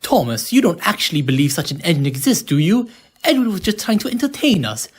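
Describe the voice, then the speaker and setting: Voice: mid-range voice, big pitch swings, brisk, breathy edge. A performer voicing a boastful, teasing young train engine in a cartoon comic dub, smug and dismissive. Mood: scornful, boastful. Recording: music under the voice. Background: music.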